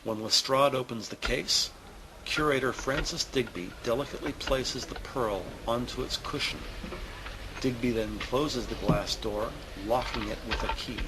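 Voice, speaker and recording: A man reading scripted audio drama narration in a deliberate, descriptive storytelling manner. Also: mid-range voice, background noise. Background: prominent music.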